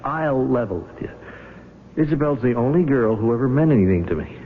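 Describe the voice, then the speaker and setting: An actor playing a cornered, bitter male schemer in an excitable 1970s radio drama style. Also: mid-range voice, a little fast, natural voice. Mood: cornered, pleading. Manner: excitable, stammering under pressure. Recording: tinny old radio broadcast, faint noise.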